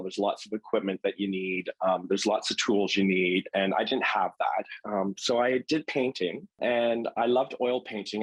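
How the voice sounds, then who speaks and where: A man's voice: lowish voice, slightly rough, poor audio. A man with a Canadian accent chatting casually as an interview guest, telling personal stories. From London, Ontario, Canada. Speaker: interview guest, quilter and teacher.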